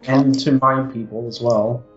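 A man's voice: mid-range voice, steady tone, unhurried, fairly bright tone. A man playing a tabletop game, chatting casually with a wry, discursive manner. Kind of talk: casual group chat. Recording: roomy muffled audio, music bed. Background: music.

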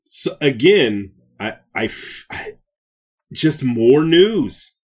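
A man's voice: medium pitch, very animated, extremely slow, breathy edge. A man co-hosting a podcast, chatting in casual banter. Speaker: podcast co-host.

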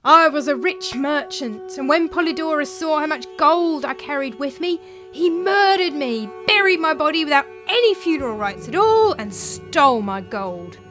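A woman puts on the doomed ghost of a murdered merchant from a storybook. He wails theatrically in a high, bright voice, mournfully drawing out his words. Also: expressive, faint music behind. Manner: wailing, theatrical, drawn-out words. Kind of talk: dramatised storytelling.

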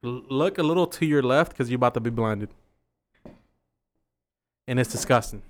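A man's voice: mid-range voice, moderate pace. Profane, boisterous young man. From Mexican-American. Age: 20s. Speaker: podcast co-host. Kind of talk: casual drinking-podcast banter.

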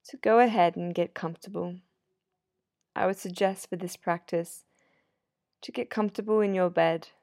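A woman guiding a sleep meditation in a soothing, unhurried, gently instructive manner. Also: on the low side, fairly even intonation, no room sound, clean studio sound. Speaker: yoga nidra meditation guide. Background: soft sound healing instrumental music.

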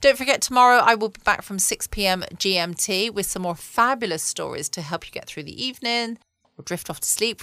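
A woman from England hosting a bedtime podcast from home, chatty and gentle, correcting herself as she goes. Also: medium pitch, dry recording. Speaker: podcast host. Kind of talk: casual podcast sign-off.

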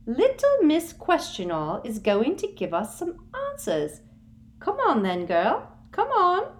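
A woman reading an audiobook, voicing a cruel, simpering middle-aged witch official in a high, breathy, sugary-menacing voice. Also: wildly swooping pitch, slow pace, exaggerated voice. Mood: triumphant.

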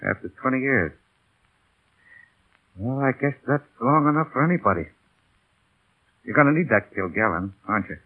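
Husky, animated veteran pilot. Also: male voice, mid-range voice, moderate pace, long pauses. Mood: resigned. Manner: slangy, earnest, folksy. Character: veteran pilot, loyal, plain-spoken, excitable pal. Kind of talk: 1940s radio acting. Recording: tinny, band-limited vintage radio broadcast.

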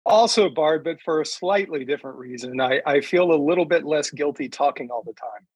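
A man guesting on a podcast, chatting casually in a halting, hesitant way. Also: a little high, slightly rough, dry recording. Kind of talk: casual chat. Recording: dull, band-limited audio.

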